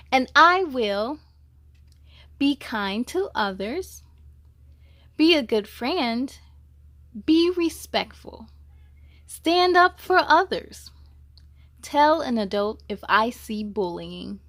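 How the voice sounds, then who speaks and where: A woman's voice: on the high side, big pitch swings, slow pace, pauses often, bright, forceful tone, no echo, clean studio sound. A woman hosting a children's story time, reading aloud expressively and speaking to young listeners in an encouraging way.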